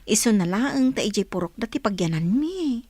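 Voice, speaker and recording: A woman's voice: mid-range voice, lively intonation, normal speed, darkish tone, no echo, lightly acted. A woman playing a cheerful young wife in an Ilocano Filipino radio drama.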